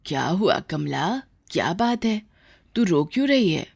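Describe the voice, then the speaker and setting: A woman's voice: fairly low pitch, big pitch swings, measured pace, gravelly, a little mellow, husky, exaggerated voice. A woman voicing a scolding, worried elderly mother-in-law in a cartoon story, nagging and exasperated. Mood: concerned.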